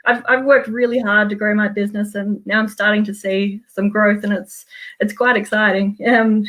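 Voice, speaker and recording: A woman's voice: medium pitch, little pitch movement, moderate pace, a bit bright, low-quality recording. An Australian-accented woman speaking in an earnest, chatty way as she casually shares a book with a group. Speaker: meetup guest speaker, small business owner. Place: home office, on a video call.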